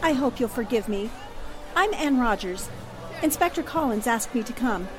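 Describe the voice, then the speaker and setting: Actress as an earnest, high-voiced lady reporter. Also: expressive, moderate pace, crowd noise, lightly acted. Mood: polite, concerned. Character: earnest, dutiful female newspaper reporter. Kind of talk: 1940s radio drama acting.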